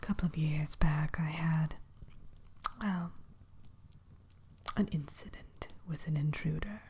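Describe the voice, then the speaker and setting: A woman playing a haughty, grudging witch innkeeper in a whispered ASMR roleplay, speaking in prim, old-fashioned phrases with hesitant pauses. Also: deep voice, warm tone, slight background noise. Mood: weary, haughty.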